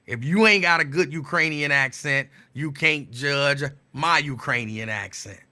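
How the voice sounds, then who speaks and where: Bright-voiced man chatting casually. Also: on the high side. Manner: relaxed, rambling, drawn-out repeats. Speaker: show host. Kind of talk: casual live-stream chat.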